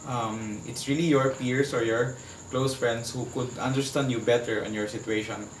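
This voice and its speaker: A man with a Filipino accent speaking as an interview guest, earnestly and haltingly, searching for his words as he gives personal testimony. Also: medium pitch, steady tone. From Philippines. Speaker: HIV advocate, interview guest. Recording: echoey room, distant mic, faint background noise.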